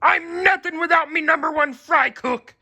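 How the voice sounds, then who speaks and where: Man doing a blustery, sharp cartoon crab boss. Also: very high-pitched, moderate pace, vocal fry, pitched above the performer's own voice, heavily acted. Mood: distressed. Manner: barking, commanding. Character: Mister Krabs, blustery, greedy, bossy male cartoon crab boss.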